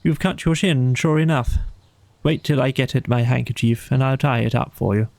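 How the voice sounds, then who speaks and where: A man voicing a practical, kindly and excitable storybook rat, brisk and decisive with a colloquial way of talking. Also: medium pitch, creaky, no echo, natural voice. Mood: kindly concern. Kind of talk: storybook character voice.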